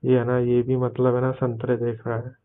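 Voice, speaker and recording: A man playing a bashful, suggestive younger brother in an improvised phone-call roleplay, talking haltingly with many verbal tics. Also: medium pitch, completely flat delivery, crowd noise, natural voice. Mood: hesitant, recounting. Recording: muffled, narrow-band phone call audio.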